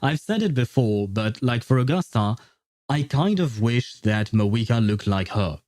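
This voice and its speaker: A man's voice: medium pitch, expressive, unhurried, a little mellow, dry recording. A man chatting casually as a game livestream host, rambling and opinionated. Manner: rambling, opinionated, often chuckling. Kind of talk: casual gaming livestream chat.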